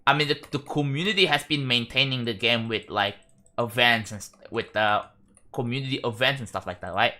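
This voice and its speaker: A young man from Indonesia with an Indonesian accent, chatting casually on a live stream, rambling and halting with frequent restarts. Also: medium pitch, pushed and bright. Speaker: livestreamer.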